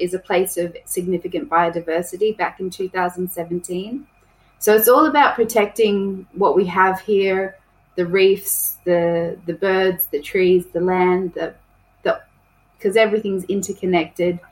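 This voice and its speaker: A woman speaks as a podcast guest about conservation work, chatty and loose. She pauses often with ums and trips over a few words as she explains. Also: on the low side, steady tone, a little mellow, poor audio. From New South Wales, Australia. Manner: chatty, with many ums and restarts. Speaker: conservation project coordinator. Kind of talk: casual podcast interview.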